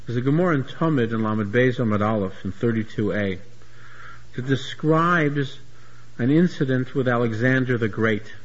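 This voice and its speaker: Husky man giving a Talmud lecture. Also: medium pitch, dull audio. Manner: searching restarts, repeats words while explaining. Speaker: Talmud teacher.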